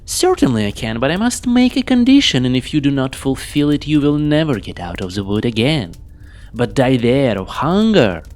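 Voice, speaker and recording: Man putting on a high, sly old witch. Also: big pitch swings, normal speed, darkish tone, close to an everyday voice. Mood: sly, threatening. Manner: theatrical, bargaining, insistent. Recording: clearly noisy home recording.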